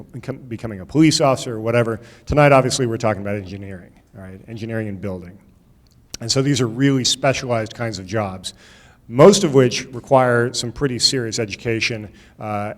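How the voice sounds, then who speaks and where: Man, middle-aged, moderator, casual emphatic, long hall reverb. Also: fairly low pitch. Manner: loose, emphatic, says "all right?". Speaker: host, moderator. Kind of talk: event moderation. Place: high school auditorium.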